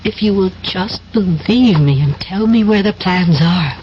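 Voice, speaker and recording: A woman's voice: mid-range voice, expressive, a little slow, darkish tone, natural voice. An actress playing an earnest, insistent woman double agent in a 1970s radio drama. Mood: urgent, pleading. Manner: urgent, insistent. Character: earnest, pleading femme fatale double agent. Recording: muffled, noisy old radio drama recording.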